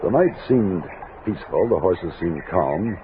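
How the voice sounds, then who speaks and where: Low-voiced, expressive frontier captain, vintage radio. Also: male voice, a little slow, a little hiss or hum. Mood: grave, reflective. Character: steady male expedition captain, commanding, thoughtful frontier leader. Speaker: narrator and lead actor. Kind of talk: old-time radio western drama, narrated and acted. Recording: narrow-band vintage radio recording with music.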